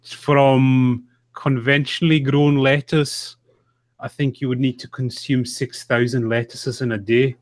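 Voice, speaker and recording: A man's voice: mid-range voice, level tone, a little slow, no echo. A man chatting casually and conversationally as a podcast co-host.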